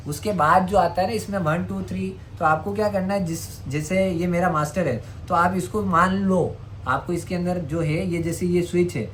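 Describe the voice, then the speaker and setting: Indian guy doing a step by step gadget demo, repeats stuff, bit of noise behind him. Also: high voice. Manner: instructional, repeats each step. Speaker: tutorial presenter. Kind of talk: hands-on step-by-step product demonstration. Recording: clear background noise.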